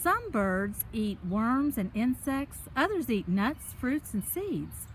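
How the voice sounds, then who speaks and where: A woman's voice: fairly high pitch, very animated, slow pace. A woman reads a picture book aloud to children while walking outside in a park, adding chatty explanations and questions for her young listeners. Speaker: picture book reader and host. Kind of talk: reading a children's story aloud with chatty asides. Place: outdoors, walking along a park path. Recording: noisy outdoor ambience while walking.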